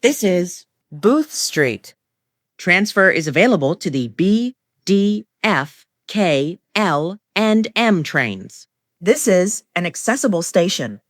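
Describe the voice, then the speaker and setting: A woman reading scripted automated train announcements in measured, formulaic phrases. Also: fairly low pitch, sing-song, no room sound, clean studio sound. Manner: formulaic, measured delivery of short set phrases.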